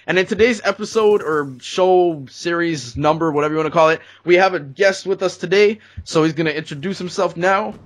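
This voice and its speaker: Young man, from Canada, fairly high voice, casual gaming host, conversational storytelling. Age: young adult. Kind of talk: casual commentary chat.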